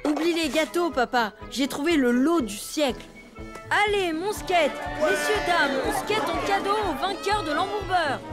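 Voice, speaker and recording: A woman voicing a brash, cheeky young cartoon elephant boy, slangy and excitable. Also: very high voice, unhurried, over music, a crowd in the background. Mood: excited. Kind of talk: French children's cartoon dubbing.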